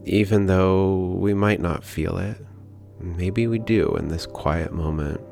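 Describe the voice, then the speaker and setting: A man leading a guided prayer meditation in a calm, gentle, unhurried manner with pauses. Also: sits low, creaky. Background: background music.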